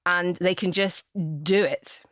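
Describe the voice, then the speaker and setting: A woman's voice: fairly low pitch, steady tone, takes it slowly, a bit bright, dry recording, clean studio sound. A woman with a British accent discussing politics as a podcast co-host, speaking emphatically and repeating phrases for effect. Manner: emphatic, repeats phrases, acts out imagined exchanges. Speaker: podcast co-host and polling campaigner.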